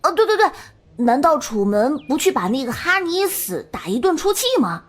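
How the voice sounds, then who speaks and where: A woman's voice: high-pitched, sing-song, normal speed. A woman playing an eager, cheeky young boy in an audio drama, talking in a blunt, pestering street-kid way. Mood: indignant. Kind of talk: Chinese audio drama acting.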